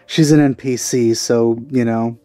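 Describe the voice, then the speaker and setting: A man's voice: mid-range voice, steady tone, a little slow, warm tone. A man giving casual commentary while playing a game, muttering hesitant asides. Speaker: gamer recording a playthrough. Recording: game music faintly behind.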